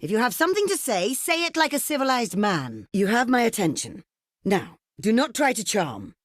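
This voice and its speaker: A woman's voice: on the high side, very animated, moderate pace, no room sound, clean studio sound. An actress playing a proud, imperious ancient desert queen in a video game, speaking in formal, theatrical and haughty phrases. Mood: impatient, disdainful. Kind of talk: video game diplomacy voice lines.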